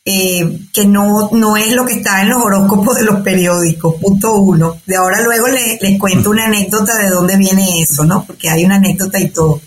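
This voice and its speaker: A woman's voice: medium pitch, fairly even intonation, fairly quick, few pauses, soft background music. Older Venezuelan woman in an echoey room. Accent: Venezuelan Spanish. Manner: thoughtful, hesitant, many fillers and restarts. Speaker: guest, astrologer. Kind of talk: conversational interview.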